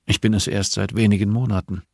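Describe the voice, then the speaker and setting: A man narrator plays an older nun in an audiobook crime story. The voice is slightly husky and close in a dry space, measured and solemn. She is a grieving mother who accuses quietly and speaks in riddles. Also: on the low side, little pitch movement, dry recording. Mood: wistful, sleepless.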